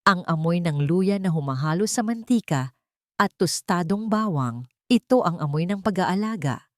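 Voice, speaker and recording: A Filipino woman narrates a dramatic audiobook in a fairly low voice. Her intonation moves widely and she sets out the story in deliberate, suspenseful beats. The sound is dry and close, with little room on it. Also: lively intonation, no echo.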